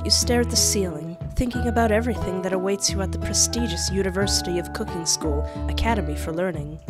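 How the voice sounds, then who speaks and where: A woman hosting a gaming video in a playful, expressive, jokey and theatrical way, close on the mic. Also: mid-range voice. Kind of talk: playful let's-play commentary with read-aloud game text. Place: small home room. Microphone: close microphone on boom arm.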